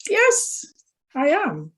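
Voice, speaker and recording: Slow-speaking older Trinidadian woman. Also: sits high, wildly swooping pitch, a bit bright. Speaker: interviewee, mother of a footballer. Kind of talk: casual interview.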